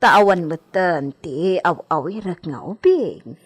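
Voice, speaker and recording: A woman's voice: on the low side, animated, slow, slightly rough, heavily acted. A woman with an Ilocano accent playing a deferential, chatty household maid in a radio drama.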